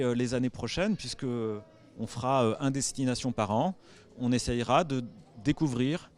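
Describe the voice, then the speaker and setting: A man explaining a cultural event he organized, in a measured interview. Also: mid-range voice, darkish tone.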